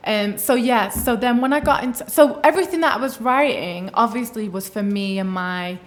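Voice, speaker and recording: A woman's voice: on the high side, moderate pace. A young woman from Manchester, a playwright guest on a podcast, talking informally with frequent "like" fillers, casual swearing and wry remarks. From Manchester, England. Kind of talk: casual interview chat.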